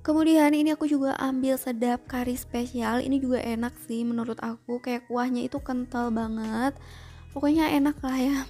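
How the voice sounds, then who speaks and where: A woman's voice: high-pitched, fairly even intonation, moderate pace, mellow, soft background music. A young woman vlogger chatting through a grocery shopping trip, often asking viewers to comment below.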